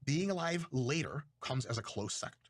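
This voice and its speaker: Guy rambling about philosophy, husky, swears a lot, dry close sound. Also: mid-range voice, expressive, moderate pace, no room sound. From Russia. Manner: profane, self-mocking, rambling. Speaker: video creator. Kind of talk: casual monologue lecture.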